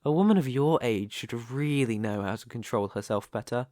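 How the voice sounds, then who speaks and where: A man playing a smug, menacing, possessive mafia boss, speaking in a taunting, silky, deliberate way in a dramatic ASMR roleplay. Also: mid-range voice, a little mellow, no echo, clean studio sound, natural voice. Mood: mocking, amused.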